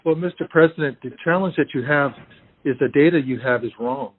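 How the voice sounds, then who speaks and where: Husky American man on a phone line. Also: medium pitch. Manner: measured, polite, firm. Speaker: state election official. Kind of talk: formal phone conversation. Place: on a phone call. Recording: muffled, roomy phone line recording.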